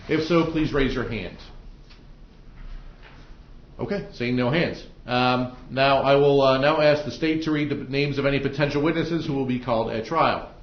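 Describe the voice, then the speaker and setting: A man's voice: mid-range voice, normal speed, slight background noise. An American man presiding as judge, giving semi-formal instructions to jurors with frequent ums and uhs. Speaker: judge presiding over jury selection. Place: indoor courtroom. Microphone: room microphones in the ceiling. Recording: dull, echoey courtroom microphone pickup.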